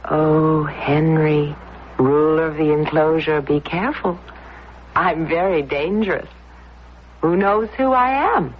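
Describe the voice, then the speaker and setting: Slow, teasing, mysterious young temptress. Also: female voice, low voice. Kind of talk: radio drama acting. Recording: muffled, noisy radio drama recording.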